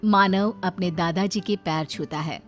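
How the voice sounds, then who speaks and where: A woman's voice: fairly low pitch, a little slow. Woman narrating a story over faint music. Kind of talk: scripted narration of a cartoon story.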